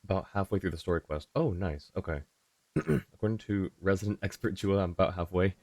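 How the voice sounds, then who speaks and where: A man's voice: low-pitched, fairly quick, darkish tone, no echo. A man chatting casually on a game livestream, easygoing and polite, thinking aloud as he replies to viewers. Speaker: game streamer.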